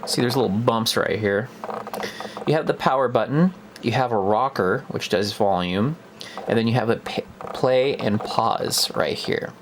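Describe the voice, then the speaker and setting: A man's voice: medium pitch, measured pace, background noise. A young man giving a casual, unscripted product review in a hesitant, conversational way, as if thinking aloud.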